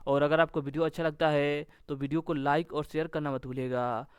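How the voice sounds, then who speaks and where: Crisp, higher-pitched man teaching quiz questions. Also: flat delivery, a little fast, no echo. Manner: instructional, repetitive set phrasing. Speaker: exam-coaching teacher. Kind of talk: question-and-answer quiz lesson.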